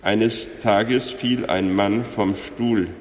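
A man's voice: on the low side, fairly even intonation, very slow. A man delivering scripted literary commentary. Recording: echoey room with long reverb.

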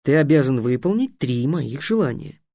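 A man voicing a stubborn young boy wizard who talks in a low, grumbling, insistent way. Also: big pitch swings, normal speed, dark tone, no room sound, clean studio sound.

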